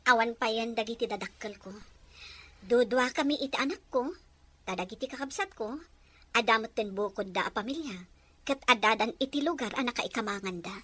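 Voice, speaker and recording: A woman acting an emotional young mother in an Ilocano radio drama, plaintive and expressive, with an Ilocano accent. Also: fairly high pitch, brisk, husky. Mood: resigned, reflective.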